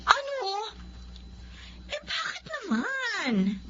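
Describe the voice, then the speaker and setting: A woman's voice: very high-pitched, huge pitch swings, extremely slow, heavily acted. A woman playing a pushy, money-minded young wife and mother in a Filipino radio drama, easily exasperated. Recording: muffled, clearly noisy radio drama audio.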